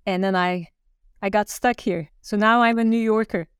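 Smooth-voiced woman designer. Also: mid-range voice, steady tone, moderate pace, no room sound, studio quality. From Turkey. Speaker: podcast guest, designer. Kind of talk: conversational interview.

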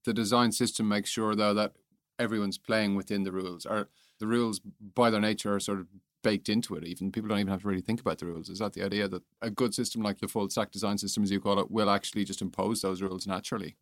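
A man's voice: on the low side, steady tone, fast talker, dry recording, clean studio sound. A man working as an interviewer, putting questions in a conversational way.

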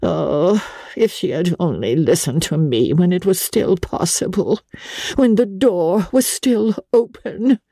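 A woman's voice: fairly low pitch, slow, creaky, warm tone, no echo, studio quality, voice pushed up, heavily acted. A woman voicing an affected, theatrical society aunt in an audiobook, effusive, grandiose and sighing. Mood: lamenting. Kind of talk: dramatic audiobook character acting.

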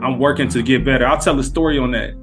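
A man co-hosting a podcast, telling a story in casual slang with lively energy. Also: medium pitch, fast, faint music behind. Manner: animated anecdote-telling with street slang. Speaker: podcast co-host.

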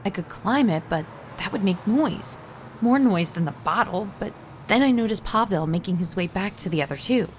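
A woman's voice: mid-range voice, animated, normal speed, a little hiss or hum, close to an everyday voice. An actress playing a frightened ordinary woman in an audio drama, narrating and speaking in a tense, hesitant way. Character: anxious ordinary woman, a frightened witness narrating her ordeal. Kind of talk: first-person audio drama narration and dialogue.

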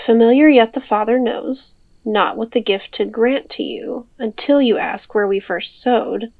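A woman's voice: a little high, a little slow. Friendly mom teasing over the phone, dull phone sound, takes her time, natural audio drama. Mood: intrigued. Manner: casual, teasing. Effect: phone call filter. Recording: dull, band-limited phone call sound.

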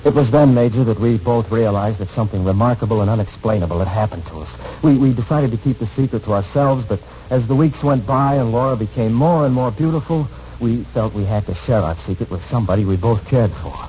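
An actor playing an earnest, awestruck newlywed husband in a 1940s radio romance, speaking with halting intensity. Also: male voice, mid-range voice, dark tone, lightly acted. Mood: halting, awed. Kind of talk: 1940s radio drama acting. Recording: muffled old radio recording with background noise.

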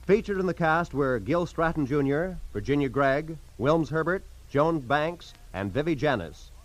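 A man's voice: fairly high pitch, expressive, normal speed, low-quality recording. A man with an American accent announcing scripted credits on 1940s radio in a formal, polished style.

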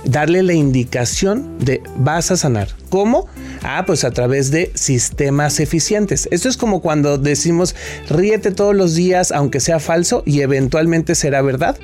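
A man's voice: fairly high pitch, lively intonation, moderate pace, a little mellow, soft background music. A man, a guest expert answering interview questions in an explanatory way, often restarting his sentences.

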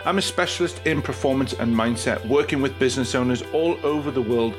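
A man's voice: mid-range voice, fairly quick, over music. A man hosting a business podcast as a performance coach, reading a polished intro and then chatting with his guest in an upbeat way. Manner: upbeat, polished greeting, warm encouragement. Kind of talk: scripted intro then casual interview.

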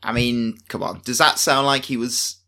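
A man's voice: medium pitch, fairly even intonation, normal speed, sharp tone. A man with a Manchester accent, co-hosting a podcast in casual chat, rambling and self-deprecating with frequent restarts. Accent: Manchester English. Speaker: podcast co-host.

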